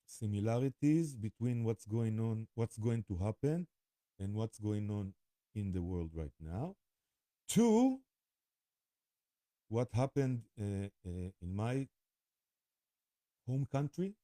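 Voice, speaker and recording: A man with an Israeli accent talking informally to camera, halting often as he pauses and repeats himself. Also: lowish voice, sing-song, dark tone, dry recording, studio quality. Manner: halting, with frequent pauses and repetitions. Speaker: spiritual vlogger. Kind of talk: rambling informal talk to camera.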